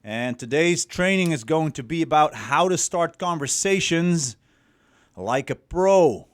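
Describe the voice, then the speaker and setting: Slow-talking Dutch man, clear and dry. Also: a little high, animated, dry recording. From Netherlands. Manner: rambling, profane, many fillers and restarts. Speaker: dating coach. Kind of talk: casual coaching livestream.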